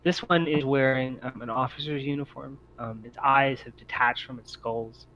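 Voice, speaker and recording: A man narrating an improvised tabletop game as game master, feeling his way through descriptions in halting phrases. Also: mid-range voice, steady tone, bright, forceful tone, dull audio, a little hiss or hum.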